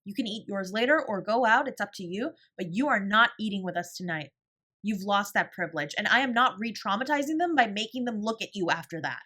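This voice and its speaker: A woman's voice: medium pitch, a bit bright. A woman playing a fiercely protective older sibling confronting a parent in an audio drama, scolding and emphatic with a sarcastic edge. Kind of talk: dramatic audio roleplay acting.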